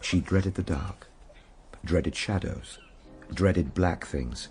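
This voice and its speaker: An actor playing an earnest, reflective gentleman time traveller in a radio drama, moving between measured storytelling and simple, tender coaxing. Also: low-pitched, over music. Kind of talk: radio drama narration and acting.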